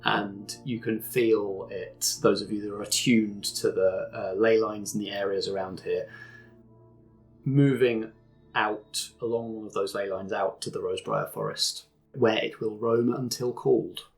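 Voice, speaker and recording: Casting: male game master, low voice with noticeable fry, descriptive and conversational, music underneath. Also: steady tone, a little slow, rough recording. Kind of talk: narrating a tabletop role-playing game.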